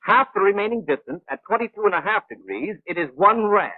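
High-pitched 1940s film instructor, didactic. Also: male voice, animated, brisk, no echo, narrow, tinny audio. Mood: instructive. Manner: precise, didactic, clipped. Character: brisk, know-it-all male gunnery instructor, patient but exacting. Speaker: instructor narrating a training film. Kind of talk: 1940s animated training film narration. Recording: thin, band-limited 1940s film soundtrack.

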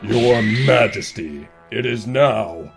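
A pompous dragon-deer king, put on by a man, deep and commanding. He talks in lofty archaic phrases over background music in a cartoon dub. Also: expressive, takes it slowly, vocal fry, exaggerated voice. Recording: music behind voice.

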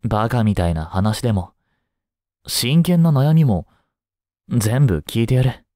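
A man acts out a kind, easygoing male teacher in an intimate voice drama. His voice is mellow and relaxed, and he talks slowly and reassuringly to one student. The recording is close and dry. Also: medium pitch, no room sound. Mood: reassuring, encouraging. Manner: gentle, unhurried, casual, reassuring with long pauses. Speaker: voice drama performer. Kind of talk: Japanese voice drama, one-sided intimate talk to the listener.

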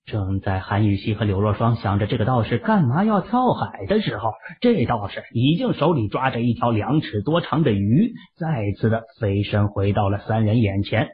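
A male audiobook narrator with a husky, breathy voice who tells the story with lively, rising and falling intonation. The sound is tinny and narrow, like it comes over a phone line. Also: lowish voice, normal speed, hardly any pauses. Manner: expressive, dramatic pacing.

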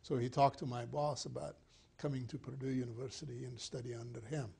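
An older man from Ethiopia, a scientist answering interview questions in a measured, thoughtful way with many fillers, recorded on a lapel mic in a small studio. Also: mid-range voice, little pitch movement, creaky, warm tone, slightly rough. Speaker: plant scientist guest. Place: small studio set indoors.

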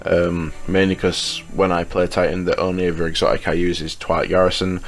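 Very low male voice, slightly congested, informal gaming commentary, chatty delivery, music in the background. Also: flat delivery, brisk. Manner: informal, chatty, addresses viewers as guys. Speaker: gaming YouTuber, host. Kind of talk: casual video game guide commentary over gameplay menus.

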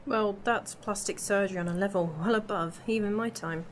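A woman's voice: medium pitch, a little fast, lightly acted. A woman playing a curious, cheeky young time-travel companion in a fan audio drama, chatty and inquisitive. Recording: amateur recording with clear background noise.

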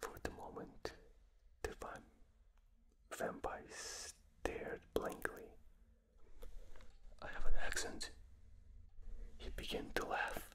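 A man with a non-native English accent whispering a book aloud for binaural ASMR, reading with small slips and restarts, recorded in a dark room at night. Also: takes it slowly, pauses often. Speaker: reader. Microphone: binaural microphone.

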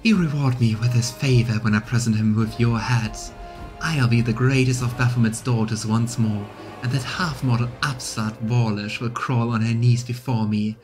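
A man's voice: medium pitch, fairly even intonation, moderate pace, music in the background, natural voice. Man putting on a haughty demon princess villain. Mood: triumphant. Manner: haughty, grandiose.

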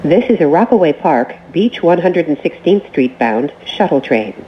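A woman reading scripted transit announcements as a train announcer, in short, measured, formal phrases. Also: low voice, big pitch swings. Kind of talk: scripted automated station announcements. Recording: muffled recording with train noise.

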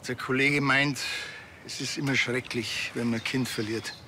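A man's voice: mid-range voice, fairly even intonation, moderate pace, noisy background, close to an everyday voice. An actor playing a dry, grumpy village policeman in a TV comedy drama, terse and sardonic, with a Bavarian accent. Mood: matter-of-fact.

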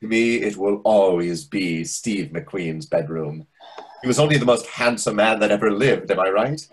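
A man's voice: on the low side, normal speed, a little hiss or hum, natural voice. A middle-aged man playing a chatty, nosy, self-important waiter in a comedy play reading, pompous and insinuating. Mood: theatrical, self-satisfied. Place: indoors on a video call. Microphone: video call microphone.

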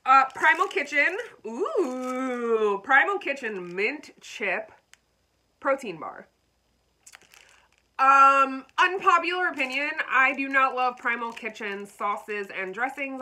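A woman chatting brightly to her viewers in an unboxing vlog, a bit congested and froggy. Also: medium pitch. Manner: bubbly, talks straight to viewers. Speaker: vlogger.

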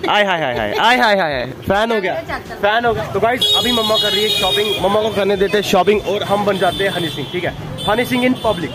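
A young man with a sore throat, vlogging casually and playfully to a phone held at arm's length, first on a busy market street and then at home. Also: on the high side. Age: young adult. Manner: playful, teasing, keeps saying "guys" and "okay". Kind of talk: casual daily vlog. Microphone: phone camera held at arm's length.

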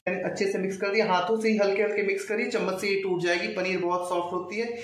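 A man's voice: sits high, flat delivery, quick pace. A young man narrating an informal cooking tutorial step by step, mixing English words into his speech. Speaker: home cook, recipe host. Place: home kitchen, indoors. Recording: echoey, distant mic, faint kitchen noise.

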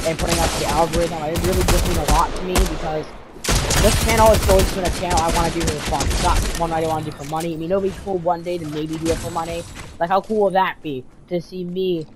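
A man's voice: fairly high pitch, steady tone, fast, very noisy. A teenage boy with a cold, rambling through casual gaming commentary with many fillers, restarts and repeated words. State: congested from a cold. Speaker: gaming YouTuber. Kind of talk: casual vlog commentary over gameplay.

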